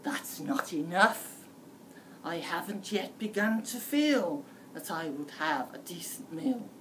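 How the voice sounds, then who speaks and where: A woman's voice: on the low side, lively intonation, takes it slowly, a bit bright. A woman voicing a hungry, sly, menacing male wolf in a storybook, theatrical and leering. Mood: wailing, unsatisfied. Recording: distant mic with faint room noise.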